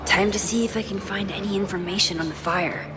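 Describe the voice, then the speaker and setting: Actress as young woman, thoughtful game heroine, medium pitch, understated, introspective, music behind. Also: fairly even intonation, brisk, lightly acted. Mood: musing. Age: young adult. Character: thoughtful young woman, caring, quietly burdened photographer with powers. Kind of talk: video game acting, inner monologue and casual dialogue.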